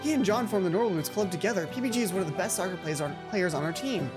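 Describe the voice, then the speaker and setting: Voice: mid-range voice, animated, racing, over music, close to an everyday voice. A let's player voicing a gossipy, boy-crazy teenage schoolgirl in a visual novel, chatty and confiding. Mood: informative, gossipy.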